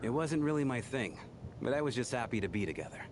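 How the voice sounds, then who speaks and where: An actor playing the remorseful ghost of a devoted bodyguard in a video game, earnest, wistful and self-effacing. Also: male voice, medium pitch, animated, quick pace, noisy background, natural voice. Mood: sorrowful recounting. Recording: game music behind the voice.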